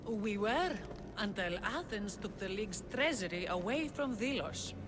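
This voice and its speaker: An actress playing Kira, a fierce, sharp-tongued rebel leader in a game cutscene. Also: female voice, medium pitch, expressive, measured pace, music in the background, natural voice. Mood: urgent. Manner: sharp, teasing, commanding. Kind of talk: video game cutscene acting.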